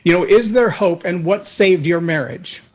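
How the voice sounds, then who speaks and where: A man guesting on a talk show, telling a personal story in an earnest, anecdotal way, with a voice that can boom when he raises it. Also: moderate pace. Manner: earnest, anecdotal, acts out others' words. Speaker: guest speaker.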